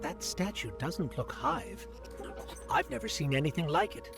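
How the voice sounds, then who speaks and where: A man's voice: fairly high pitch, very animated, moderate pace, creaky. A man voicing a small robot guide in a video game, earnest, curious and watchful, speaking conversationally. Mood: puzzled, uneasy. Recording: game music behind the voice.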